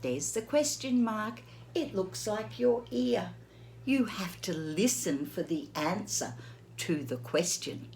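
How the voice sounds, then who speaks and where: Slow, lively woman reading to kids. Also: mid-range voice, slight background noise. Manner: gentle, slow, asks the listener questions. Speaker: teacher reading to children. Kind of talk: children's read-aloud lesson.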